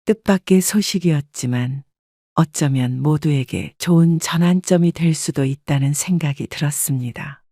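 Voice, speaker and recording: A woman narrating a scripted first-person life story in a calm, even manner. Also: sits low, normal speed, dry recording, studio quality.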